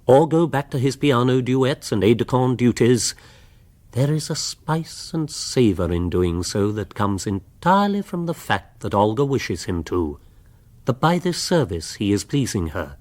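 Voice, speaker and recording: A man's voice: mid-range voice, very animated, measured pace, darkish tone. A man with an English accent reading scripted, wry narration for a radio drama.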